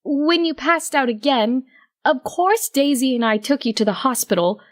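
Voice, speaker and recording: A woman's voice: high voice, moderate pace, voice pushed up, lightly acted. A woman voicing a scolding, fussing young female pony who plays music and talks in an exasperated, insistent way. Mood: flustered.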